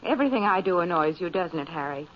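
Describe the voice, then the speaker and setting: Casting: actress as anxious, put-upon wife, pleading delivery, 1940s radio drama, muffled vintage recording. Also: female voice, fairly low pitch, animated, fast talker, lightly acted. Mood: hurt. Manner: pleading, aggrieved. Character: anxious, pleading, long-suffering wife of a surly man.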